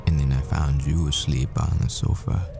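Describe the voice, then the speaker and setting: A man playing a tender, haunted professor boyfriend in an intimate audio roleplay, speaking in halting, wistful, confessional phrases. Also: a bass voice, level tone, gravelly. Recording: music behind the voice. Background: music.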